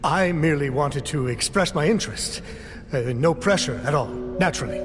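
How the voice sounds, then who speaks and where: A man voicing a polite, hedging investor who speaks smoothly. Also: fairly high pitch, expressive, moderate pace, natural voice. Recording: reverb with music.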